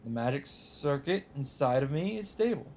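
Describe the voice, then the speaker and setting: A man reading a visual novel script aloud as dramatic narration, with deliberate, drawn-out phrasing. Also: mid-range voice, big pitch swings, darkish tone, a little hiss or hum. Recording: music under the voice. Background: music.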